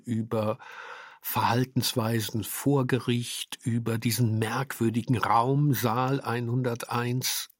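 Slow, husky man reflecting. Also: medium pitch, no echo, studio quality. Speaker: radio drama maker. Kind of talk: reflective interview talk.

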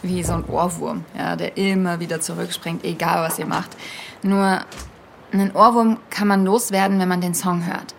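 A woman's voice: fairly low pitch, level tone, moderate pace, gravelly, a little hiss or hum. A woman playing an earnest young podcaster, narrating a fiction podcast in a confiding, conversational way straight to her listeners. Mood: intense, haunted. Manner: confiding, addressing listeners directly. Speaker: podcast host.

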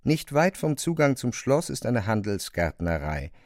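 Sober storyteller, matter-of-fact, measured phrasing, mid-range male voice, dry close sound, radio drama. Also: mid-range voice, big pitch swings, a little mellow, no room sound. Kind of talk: literary radio drama narration.

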